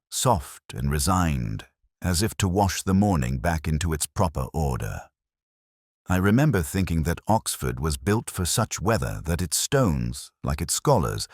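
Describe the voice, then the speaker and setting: A very low-voiced, erudite older detective, played by a man, recounts a case in slow literary prose. His delivery is reflective and wry, with lively melodic movement in the pitch. The sound is close and dry, suited to melancholy radio mystery. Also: no echo, exaggerated voice. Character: melancholy, erudite older male English police inspector, reminiscing. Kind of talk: slow literary first-person detective narration.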